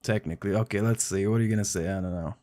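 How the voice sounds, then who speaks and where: Chatty male streamer, lowish voice. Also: racing, mellow. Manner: friendly, chatty, addresses viewers directly. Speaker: game streamer. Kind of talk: casual live-stream chat.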